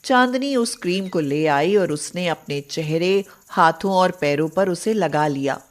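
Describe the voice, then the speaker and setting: Woman narrator, slow and low, measured storybook reading. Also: lively intonation.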